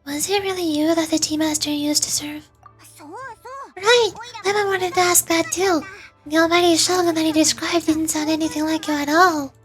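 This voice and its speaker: A small, sweet fairy companion from a video game. The childlike voice sits extremely high and chatters openly, with music playing behind it. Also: female voice, steady tone, moderate pace, fairly bright tone. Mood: curious.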